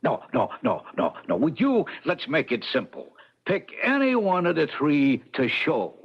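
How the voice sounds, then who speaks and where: An actor playing a streetwise talking horse in an old-time radio comedy, with slangy, cocky tipster patter. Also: male voice, fairly high pitch, wildly swooping pitch, a little slow, husky, dull audio, full character voice. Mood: confident, coaxing. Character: streetwise, wisecracking male talking horse who tips races.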